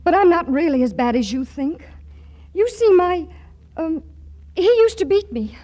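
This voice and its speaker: An actress playing a weary, sharp young shopgirl in a 1940s radio comedy, wry and quick to retort, hesitant when fibbing. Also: very high-pitched, animated. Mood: meek, fibbing. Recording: noisy old 1940s radio broadcast.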